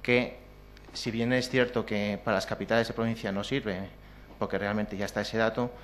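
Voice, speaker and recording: A man's voice: on the low side, a little hiss or hum. A man with a Spanish accent presenting a technical talk at a conference, hesitant with many fillers and restarts. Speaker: conference speaker. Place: lecture hall with projected slides.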